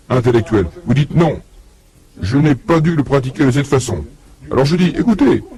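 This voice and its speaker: A man's voice: mid-range voice, warm tone. A man delivering a religious lecture to an audience, telling a story and acting out both sides of a conversation, often putting questions to his listeners. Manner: addresses the audience directly with questions.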